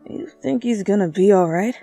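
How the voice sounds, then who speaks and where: A woman's voice: mid-range voice, animated, moderate pace, gravelly, warm tone, dry recording, faint music behind. Woman reading as good-natured teenage boy, very dry sound. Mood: earnest. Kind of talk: dramatic fanfiction reading.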